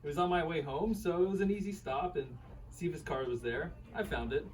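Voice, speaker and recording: A man's voice: a little high, a little fast, lightly acted. A man playing a sheepish, self-doubting husband telling his story in a live radio play reading. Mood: defensive, rueful. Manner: conversational, rueful, animated storytelling. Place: live reading at a bookshop event. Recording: distant mic, faint room noise.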